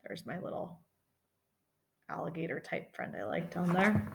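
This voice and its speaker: A woman's voice: lowish voice, gravelly, background noise. A middle-aged woman reading a children's picture book expressively, with dramatic emphasis and chatty asides. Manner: animated, deliberate, dramatic emphasis. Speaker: storytime reader.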